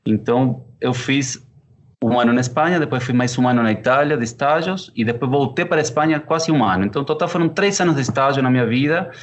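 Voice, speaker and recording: A man in his thirties from Argentina, a chef, answering interview questions with an Argentine Spanish accent, unhurried and thoughtful with frequent fillers. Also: mid-range voice, highs cut off, a little hiss or hum. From Córdoba, Argentina. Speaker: chef and pizzeria partner.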